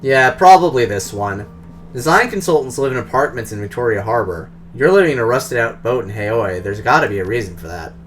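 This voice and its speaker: A man's voice: mid-range voice, expressive, brisk, faint music behind. A man reading a game's dialogue aloud as the player character, in a conversational, questioning way. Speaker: game streamer.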